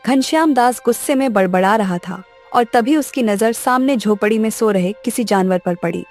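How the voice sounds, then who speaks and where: Casting: female narrator for scripted children's stories, fairly high voice, light music behind. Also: moderate pace, darkish tone.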